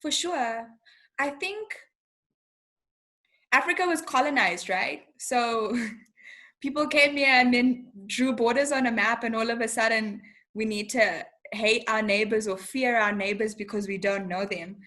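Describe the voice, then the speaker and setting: A young woman from Zimbabwe chats openly as an interview guest. She sounds frank and animated, with a fairly high voice and plenty of casual slang. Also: a little slow.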